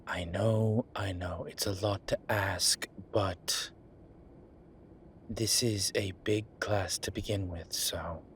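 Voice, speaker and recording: A man playing a wry, laid-back incubus demon professor in a roleplay audio drama, talking with breathy pauses and trailing sentences. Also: sits low, steady tone, fairly bright tone, slight background noise. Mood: dry, authoritative. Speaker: voice actor. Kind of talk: roleplay audio drama, one side of a conversation.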